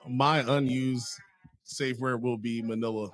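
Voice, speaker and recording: A forty-year-old man chats as a talk show co-host, close and dry in sound. He is sardonic and swears freely, spinning comic stories in a casual, animated way. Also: medium pitch, a little slow, no echo. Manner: profane, sardonic, animated storytelling. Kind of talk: casual comedic chat.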